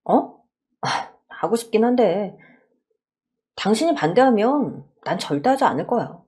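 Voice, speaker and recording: A meek young son-in-law in a radio story, voiced by a woman. He is earnest, courteous and a little hesitant, with a husky, expressive delivery. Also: mid-range voice, unhurried. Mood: eager. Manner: polite, hesitant, pleading.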